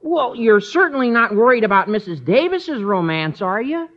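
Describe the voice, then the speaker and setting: Squeaky, excitable teenage boy. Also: moderate pace. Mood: chatty. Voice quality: high, squeaky adolescent voice. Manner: gushing, over-polite, breaks into nervous laughter. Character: eager, excitable teenage high school boy. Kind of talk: 1950s radio comedy acting. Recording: dull old radio recording, studio audience.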